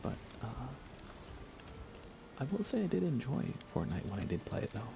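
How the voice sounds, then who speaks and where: A man chatting casually in a soft ASMR gaming-stream voice, often dropping toward a whisper. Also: very animated, moderate pace, over music. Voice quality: creaky, low. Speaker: livestreaming gamer.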